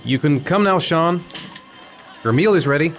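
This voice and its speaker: An actor playing a gentle, patient father looking after his young son in a video game cutscene, soft-spoken but gently firm. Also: on the high side, animated, moderate pace, soft background music, lightly acted.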